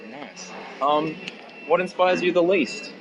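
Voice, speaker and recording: A man's voice: a little high, takes it slowly, dull audio. A man of twenty-three interviewing in a casual, laid-back way, chatting in short, easy remarks. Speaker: interviewer, musician. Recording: faint background noise.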